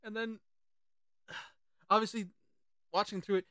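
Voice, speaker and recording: An excitable, sweary podcast host chatting casually about films. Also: medium pitch, slow, no room sound, studio quality. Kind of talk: casual film chat with quoted lines.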